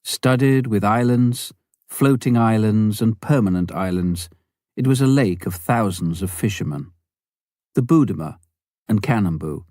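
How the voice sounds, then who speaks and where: Low-voiced man reading a memoir. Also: animated, measured pace, darkish tone, no echo, clean studio sound. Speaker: audiobook reader. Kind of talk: scripted narration of a memoir.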